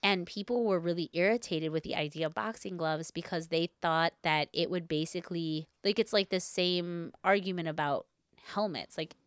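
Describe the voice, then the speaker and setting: Casual, slangy woman podcaster, slightly low voice. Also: moderate pace, clean tone, no room sound. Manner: informal, slangy, heavy use of "like". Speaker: podcast co-host telling a story. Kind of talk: casual conversational podcast chat.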